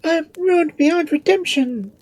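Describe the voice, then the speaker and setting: A woman's voice: extremely high pitch, unhurried, a little mellow, voice pushed up. A woman voicing a despairing young queen in a story, with theatrical, wailing delivery. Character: despairing, haughty young queen, melodramatic and fearful.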